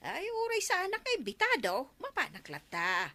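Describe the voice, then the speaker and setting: A woman's voice: high-pitched, wildly swooping pitch, moderate pace, sharp tone, full character voice. A woman acting a nosy, stubborn village mother in an Ilocano comedy drama, with a Filipino Ilocano accent and grumbling manner.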